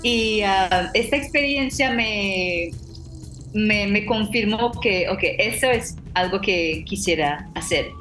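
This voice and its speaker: A middle-aged woman from Trinidad with a Trinidadian accent, a native English speaker telling her story as a professional interpreter, with halting restarts and fillers. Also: medium pitch, fairly bright tone, soft background music. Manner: halting, frequent restarts and fillers, occasional light laughs. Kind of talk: personal storytelling talk. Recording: roomy echo.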